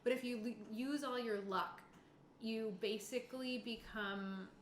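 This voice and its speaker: A woman's voice: a little high, fairly even intonation, a little slow. A woman running a tabletop game as game master, casually explaining rules and narrating in an informal way. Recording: echoey room with faint background noise.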